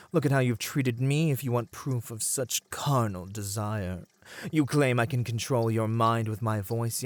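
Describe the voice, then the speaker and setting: A man voicing a proud, bitter, melancholy captive merman in an ASMR roleplay, speaking in formal, eloquent phrases. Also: medium pitch. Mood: accusing, bitter. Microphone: close studio mic, dry.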